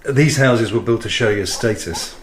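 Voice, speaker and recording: A man's voice: lowish voice, normal speed, a little hiss or hum, lightly acted. An actor playing a polite, evasive estate agent showing a house in a naturalistic radio drama, with a salesman's patter. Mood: reassuring, selling.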